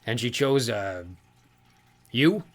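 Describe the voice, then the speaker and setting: A man's voice: medium pitch, animated, slow pace. A man voicing a blunt, prickly male dwarf in an audiobook, curt and skeptical.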